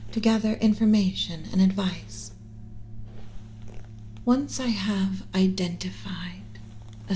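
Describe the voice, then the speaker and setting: Soft whispery alien mother scientist, played by a woman. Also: mid-range voice, a little hiss or hum, lightly acted, low-quality recording. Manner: slow, soothing, formal lecturing with motherly tenderness. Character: doting female alien scientist mother, gently possessive of human pet. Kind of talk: whispered ASMR roleplay.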